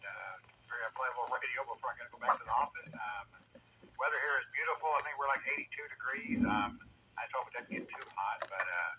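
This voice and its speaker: A man with a mid-pitched voice checks in casually over two-way radio, easygoing and folksy. The transmission is dull and buried in loud noise. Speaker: amateur radio operator on a net. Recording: very noisy, muffled radio transmission.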